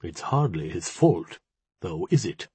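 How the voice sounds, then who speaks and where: A man's voice: lowish voice, animated, unhurried, breathy edge, no echo, exaggerated voice. A man voicing an elegant female rat-spirit in a storybook, sweetly persuasive and practical. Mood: sympathetic.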